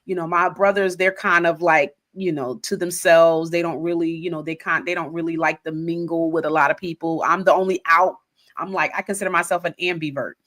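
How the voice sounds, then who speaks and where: A middle-aged woman hosting a podcast, interviewing a guest in an expressive, chatty way. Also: on the low side, brisk. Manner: expressive, animated storyteller. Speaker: podcast host. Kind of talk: conversational interview.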